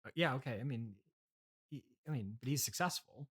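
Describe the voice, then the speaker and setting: A man's voice: mid-range voice, fairly quick, husky. A man hosting a casual comedy interview, speaking in a hesitant, flustered way with frequent stammering. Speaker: podcast host and interviewer.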